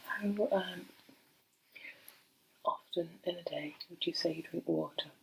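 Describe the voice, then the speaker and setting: Middle aged lady doing asmr doctor roleplay, soft n breathy, super crackly vocal fry, bit of hiss behind. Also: lowish voice, steady tone. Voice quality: breathy, often dropping into a whisper. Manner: gentle, unhurried, hesitant pauses. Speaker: ASMR performer role-playing a doctor. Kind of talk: soft-spoken ASMR medical role play. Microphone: close microphone.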